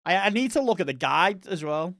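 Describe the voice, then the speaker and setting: A man's voice: sits high, expressive, fairly quick, fairly bright tone, no room sound, clean studio sound. Chatty Northwest English streamer man. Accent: Northwest English, mix of Manchester and Liverpool. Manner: rambling, chatty, self-correcting. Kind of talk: casual live-stream chat reading viewer messages.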